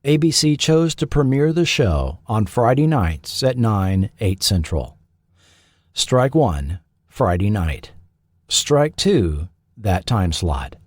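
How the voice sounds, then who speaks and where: A man's voice: lowish voice, big pitch swings, slow, no echo, studio quality. A man narrating a scripted documentary as its host.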